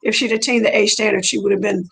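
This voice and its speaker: A middle-aged woman who grew up speaking French first, talking as a coach being interviewed. Her voice has a gravelly fry at a medium pitch. She rambles chattily and circles back to repeat key phrases. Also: fairly even intonation, fast, fairly bright tone. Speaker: track and field coach, interview guest. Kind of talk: conversational personal storytelling.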